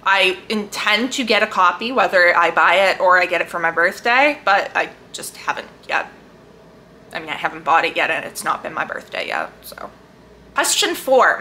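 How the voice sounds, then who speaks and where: Woman, animated intonation, bright forceful tone, enthusiastic book vlogger, casual chat, emphatic drawn-out words. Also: lowish voice, a little hiss or hum. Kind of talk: casual chat to camera about books.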